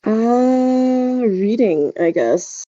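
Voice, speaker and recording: A woman's voice: a little high, lively intonation, extremely slow, dark tone, no room sound, dull audio. A woman co-hosting a casual podcast, speaking with hesitant, understated, dry reactions. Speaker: podcast co-host.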